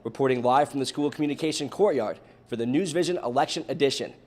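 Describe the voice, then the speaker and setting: A man's voice: medium pitch, fast talker, darkish tone. A young man with an American accent reads scripted news in a formal newscaster delivery, as a reporter and anchor. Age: young adult.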